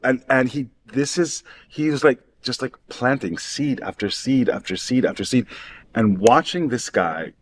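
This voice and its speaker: Excitable middle-aged New man. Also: medium pitch. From Queens, New York. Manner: excitable, halting repetitions for emphasis. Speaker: talk show co-host. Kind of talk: casual chat.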